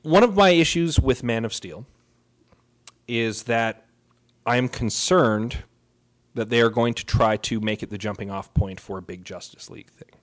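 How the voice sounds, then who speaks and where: A man's voice: medium pitch, normal speed. A man co-hosting a podcast in casual chat, making his points emphatically and opinionatedly, often repeating phrases for weight. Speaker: podcast co-host. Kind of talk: casual chat about films and comics.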